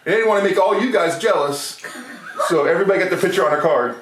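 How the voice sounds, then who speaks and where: An American man hosting a casual chat show, jokey and rambling, with halting restarts. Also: high-pitched, fairly bright tone. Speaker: podcast host. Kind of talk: casual beer review chat. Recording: roomy, distant mic, faint noise.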